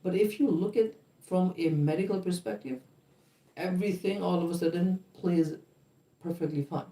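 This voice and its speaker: A man's voice: high voice, normal speed, dark tone. Earnest man reasoning in a roomy space. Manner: earnest, reasoning aloud with questions like "right?" and "why?". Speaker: vlog co-host on a livestream. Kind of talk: casual livestream chat. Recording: roomy room sound.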